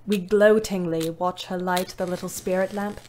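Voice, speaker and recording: A woman playing a weary young British ambulance driver in her twenties, narrating her war diary in a literary, wry, measured way. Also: on the low side, steady tone, a little mellow, slight background noise, natural voice. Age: 20s. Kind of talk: scripted audio drama narration.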